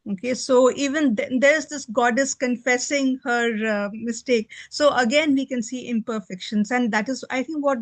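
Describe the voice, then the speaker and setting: A woman's voice: a little high, moderate pace, few pauses, no echo. A woman giving her thoughts in a group discussion, speaking in careful, articulate phrases with frequent hesitations. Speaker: book club participant, teacher. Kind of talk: spoken discussion response.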